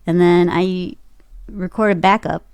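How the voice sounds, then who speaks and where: A woman's voice: fairly low pitch, fairly even intonation, a little slow, darkish tone, clean tone, dry recording. A woman chatting casually and informally as an interview guest. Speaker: guest, voice actor and podcaster.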